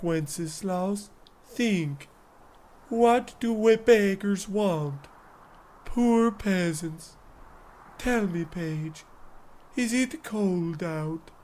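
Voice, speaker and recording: Slow, pompous king played by a man. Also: sits high, darkish tone. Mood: pompous, pondering. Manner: pompous, pondering. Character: pompous, dim-witted, self-important good king. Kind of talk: comedy radio play acting.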